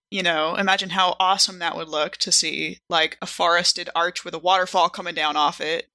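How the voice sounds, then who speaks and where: A woman chatting casually in a group, telling things in an animated way with acted-out reactions. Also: fairly low pitch. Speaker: podcast cast member.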